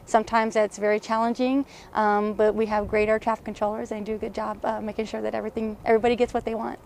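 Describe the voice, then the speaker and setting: A woman's voice: medium pitch, fast, vocal fry. An American woman, a chief air traffic controller, speaking a little hesitantly in an interview. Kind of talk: interview talk. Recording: faint steady background noise.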